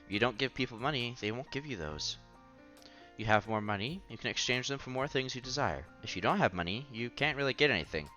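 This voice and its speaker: A man's voice: mid-range voice, animated, fast talker, pushed and bright. A man hosting a casual read-aloud playthrough of a visual novel, reading narration and dialogue with occasional stumbles and side comments. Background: background music.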